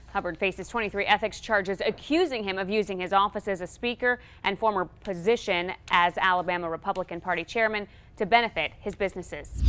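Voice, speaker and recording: Mid-pitched woman anchoring the news. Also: a bit bright. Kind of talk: scripted news reading.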